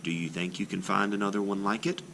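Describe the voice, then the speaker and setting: A man voicing a gentle, caring village wife in a storybook, speaking softly. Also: lowish voice, fairly even intonation, quick pace, vocal fry, a little hiss or hum. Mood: gentle, concerned. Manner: soft, gentle, quietly questioning. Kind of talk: storybook read-aloud character voice.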